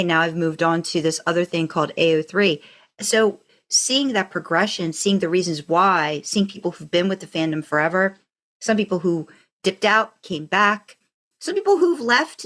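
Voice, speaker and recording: A woman's voice: on the low side, expressive, moderate pace, a bit bright. A woman co-hosting a podcast in casual fan chat, talking in a reflective, rambling way that circles back mid-thought. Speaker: podcast co-host.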